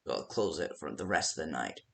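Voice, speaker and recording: A man casually improvising phone-call style guide messages for a fan-made game, rambling and haltingly restarting his sentences. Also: rumbling low, very animated, vocal fry, bright, forceful tone. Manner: rambling, halting, self-interrupting with stammered restarts.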